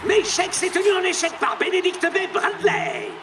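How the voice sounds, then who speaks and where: A woman playing an excited football match commentator in a cartoon dub. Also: very high-pitched, normal speed. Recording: distant voice under loud music.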